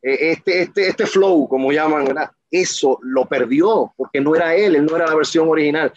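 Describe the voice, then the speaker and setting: A man's voice: a little high, moderate pace, no room sound, dull audio. A man in his forties talking passionately as an interview guest, emphatic and rambling with many restarts. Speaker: guest, professional wrestler. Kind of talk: casual interview talk.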